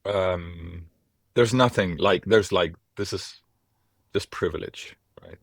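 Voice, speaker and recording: Reflective low-voiced man from Denmark. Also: slow pace, vocal fry, dry recording, clean studio sound. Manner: reflective, wry, with lively asides. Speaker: podcast guest, former monk. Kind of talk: casual podcast conversation.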